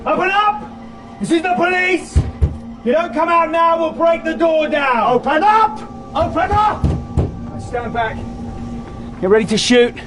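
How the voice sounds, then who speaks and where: A commanding policeman on an armed raid in a radio drama. He shouts orders in a very high, strained pitch over prominent music. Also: unhurried, pauses often. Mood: urgent, commanding. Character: forceful male policeman bellowing orders during an armed raid.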